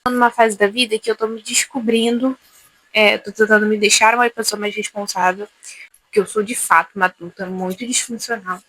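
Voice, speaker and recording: A woman's voice: mid-range voice, fairly bright tone, husky, no room sound, low-quality recording. A woman in her twenties, talking casually to camera in a rambling, confessional way, often losing her thread. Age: 20s. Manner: rambling, self-interrupting, chatty. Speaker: vlogger.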